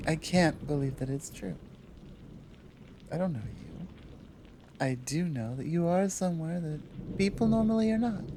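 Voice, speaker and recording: A woman playing a tall, powerful, protective Amazon in an intimate ASMR roleplay, talking softly and teasingly with halting pauses. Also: on the low side, a little hiss or hum.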